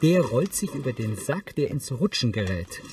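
A man's voice: mid-range voice, big pitch swings, normal speed, recorded from a distance, noisy background. A man reading scripted audio description, narrating on-screen action in the present tense.

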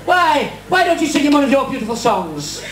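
A bossy, doting pantomime dame played by a stage performer scolds and banters in a high, swooping voice. The delivery is broad and declamatory, heard from far off in an echoey hall with a noisy audience. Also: sing-song, fairly quick, fairly bright tone. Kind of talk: broad comic pantomime stage acting with ad-libs. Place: indoor small stage before an audience. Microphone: distant camcorder.

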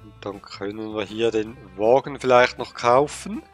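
A man with a cold, thinking aloud with many pauses and fillers while commenting on a game he plays. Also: fairly low pitch, soft background music. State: has a cold. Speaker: let's play gamer. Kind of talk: casual gameplay commentary.

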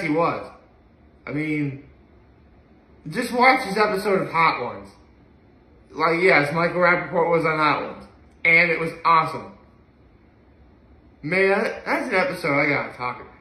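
A young man with a bright, fairly high voice chats casually to a camera a few feet away. He gushes and repeats his phrases for emphasis. The living room around him adds a clear echo. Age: young adult. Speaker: video blogger. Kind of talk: casual solo chat to camera. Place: living room at home, indoors. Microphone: camera or phone a few feet away.

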